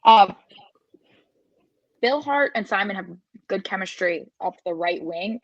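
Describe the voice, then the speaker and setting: A woman's voice: on the low side, dry recording, dull audio. A woman giving casual, tentative sports analysis as a podcast panelist.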